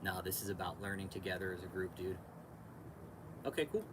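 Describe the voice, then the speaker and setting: An American man with a fairly low voice hosts a casual livestream. He talks straight to his viewers in loose, slangy bro-talk, repeating himself and swearing freely. There is clear background noise under his voice. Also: fairly quick. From California, United States. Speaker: podcast and livestream host.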